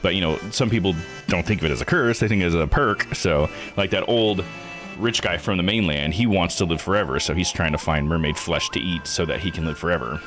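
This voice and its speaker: Low-voiced man chatting casually on stream. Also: a little fast, over music. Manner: chatty, informal, rambling. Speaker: live streamer and host. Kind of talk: casual game playthrough commentary.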